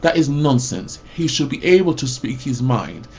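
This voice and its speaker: Loud gravelly guy in his 40s, rambles on w lots of ums n restarts, mid pitch. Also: big pitch swings, normal speed, background noise. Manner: rambling with many fillers and restarts. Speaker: mental health support worker. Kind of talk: rambling personal rant.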